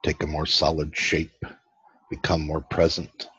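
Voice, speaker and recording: A man leading a guided meditation as host, giving slow, soothing instructions with long pauses and a husky voice. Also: rumbling low, completely flat delivery, fairly bright tone. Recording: dull, lo-fi, roomy sound.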